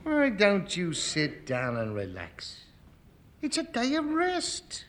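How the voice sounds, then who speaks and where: An actor playing a gruff, impatient man who snaps at others to stop moaning, in a 1950s radio sitcom. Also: sits high, wildly swooping pitch, slow, fairly bright tone, exaggerated voice. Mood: irritated. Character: gruff, impatient middle-aged man reading the paper.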